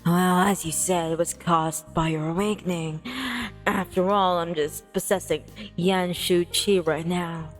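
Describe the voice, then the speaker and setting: Woman playing a slow-talking, teasing male spirit. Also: lowish voice, steady tone, natural voice. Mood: nonchalant. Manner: nonchalant, mock-innocent. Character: playful teasing male spirit in borrowed body. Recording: music playing behind the voice.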